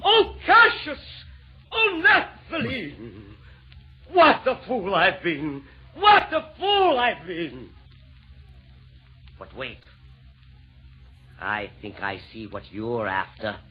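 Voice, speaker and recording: An actor playing a villainous, pompous Roman emperor, declaiming rhymed verse in old-time radio comedy style. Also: male voice, high voice, wildly swooping pitch, slow pace, long pauses, husky, heavily acted. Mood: indignant. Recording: dull, noisy vintage radio recording.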